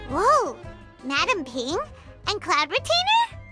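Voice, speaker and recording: Tiny excitable fairy sidekick, extremely high squeak, swooping game delivery, music behind. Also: female voice, takes it slowly, heavily acted. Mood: curious, excited. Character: tiny, childlike, bubbly fairy companion, blunt and curious. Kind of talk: video game voice acting.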